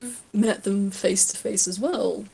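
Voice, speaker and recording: A patient, musing man played by a woman in a tabletop roleplay. The voice sits at a middle pitch and moves deliberately through each thought, with a kindly, playful temper. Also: steady tone, gravelly, warm tone, breathy edge, exaggerated voice, low-quality recording.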